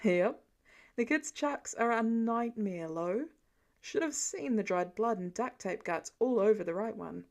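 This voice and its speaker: A woman voicing a gentle, weary middle-aged father in an audiobook story, low-key and plain-spoken with a dry manner. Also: animated, a little slow, vocal fry. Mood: dry, wary.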